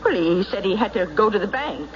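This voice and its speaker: An actress playing a distraught, defiant farm wife in an old radio drama, emphatic and quick to bristle. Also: female voice, medium pitch, lively intonation, close to an everyday voice. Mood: defensive. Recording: muffled vintage radio recording, faint noise.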